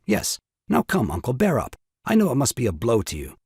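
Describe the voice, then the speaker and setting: A man's voice: mid-range voice, huge pitch swings, a little fast, creaky, darkish tone, breathy edge, dry recording, clean studio sound, heavily acted. An actor playing a brazen, bluffing young husband and new father in a comic farce, glib and formal with mock sympathy. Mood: brazenly confident. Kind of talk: comic stage farce acting.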